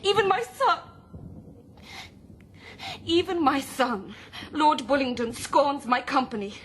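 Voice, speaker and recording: A woman's voice: high-pitched, lively intonation, slow pace, a little hiss or hum. An actress playing a proud, distressed aristocratic lady with formal, impassioned speech in a period radio drama. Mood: distressed pleading.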